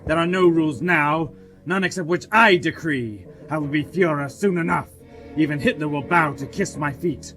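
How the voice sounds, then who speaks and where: A man's voice: a little high, normal speed, fairly bright tone, faint music behind, natural voice. A man playing a cruel, megalomaniac villain in an audio drama, theatrical and grandiose. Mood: raging, gloating. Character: megalomaniac German Nazi villain, cruel and menacing.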